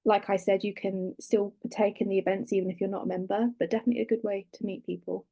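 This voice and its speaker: A woman's voice: mid-range voice, little pitch movement, quick pace, gravelly, mellow. A young woman, a student ambassador, casually giving advice on a webinar panel. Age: young adult.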